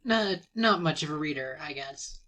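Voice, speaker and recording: A woman's voice: sits low, lively intonation, moderate pace, fairly bright tone, voice pushed down. A woman voicing an upbeat, friendly schoolgirl club member in a visual novel game, sounding encouraging.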